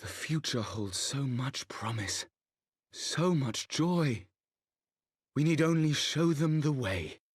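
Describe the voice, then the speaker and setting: A man's voice: medium pitch, lively intonation, takes it slowly, breathy edge, dry recording, clean studio sound. A man playing an earnest, idealistic young nobleman in a fantasy game, speaking in a heartfelt, rhetorical way. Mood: hopeful. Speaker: voice actor in a fantasy video game. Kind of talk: cinematic game cutscene acting.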